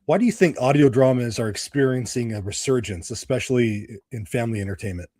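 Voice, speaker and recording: A man's voice: medium pitch, fast, warm tone, no echo, studio quality. A middle-aged American man hosting a podcast, casually interviewing a guest and chatting. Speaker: podcast host.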